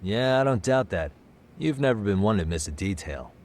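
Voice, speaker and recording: A man's voice: on the low side, expressive, moderate pace, darkish tone, a little hiss or hum, natural voice. A man playing a shrewd detective with casual, dry humor in a video game dub. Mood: dry, easygoing.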